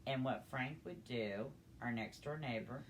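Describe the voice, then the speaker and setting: An older woman telling a personal story in a conversational, halting, unhurried way. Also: rumbling low, slight background noise, poor audio. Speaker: storyteller grandmother.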